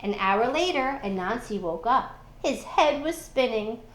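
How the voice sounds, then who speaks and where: A woman reading a children's storybook aloud in expressive narration. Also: on the high side, measured pace, reverberant, a little hiss or hum, poor audio. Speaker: school storybook reader.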